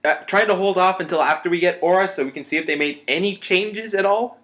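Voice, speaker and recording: A young man co-hosting a podcast in casual fan chat, excitable and joking. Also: high-pitched, fast, reverberant. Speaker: podcast co-host.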